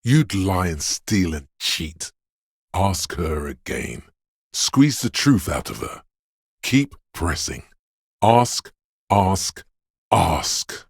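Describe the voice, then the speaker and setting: An actor voicing a video game character, a paranoid, aggressive inner voice of fight-or-flight instinct, speaking in an ominous, raspy, urging way. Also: male voice, very animated, dry recording, clean studio sound, exaggerated voice. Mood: aggressive, goading. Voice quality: very deep, gravelly, raspy. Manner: slow, deliberate, ominous urging.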